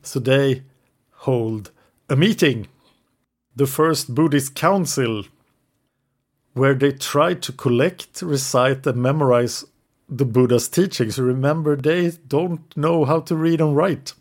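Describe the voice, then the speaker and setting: English-speaking man, podcast co-host, mid-pitched, narrates history from notes with the odd hesitation. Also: slow. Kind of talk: casual history podcast storytelling.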